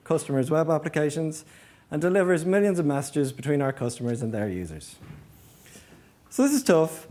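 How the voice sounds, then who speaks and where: A man delivers a prepared talk in the role of an engineering manager. His voice sits a little high for a man but has a very mellow, rounded tone. He explains things in a structured way, often framing points as questions. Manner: explanatory, poses rhetorical questions. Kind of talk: prepared conference-style presentation.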